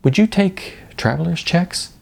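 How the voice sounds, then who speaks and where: A man's voice: high-pitched, measured pace, gravelly, voice pushed up, exaggerated voice. A man voicing a playful, decisive young woman, a supportive partner, in audiobook dialogue. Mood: firm, supportive.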